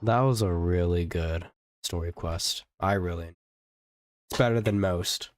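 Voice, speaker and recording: Young man, low-ish, relaxed gameplay chatter, reacts and thinks out loud. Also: unhurried. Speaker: gaming streamer. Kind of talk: casual gameplay commentary.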